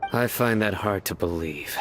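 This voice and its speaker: An actor playing a stern, uncompromising young investigator in a video game, speaking in a stoic, blunt and measured way. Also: male voice, deep voice, animated, fairly bright tone, slight background noise. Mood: stern, probing.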